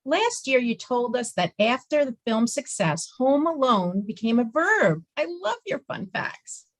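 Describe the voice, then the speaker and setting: A woman's voice: sits high, sing-song, unhurried, full character voice. A woman playing a coddling, sweetly placating mother of a grown son in a comedy table read. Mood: coaxing. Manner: sweetly coaxing, protective.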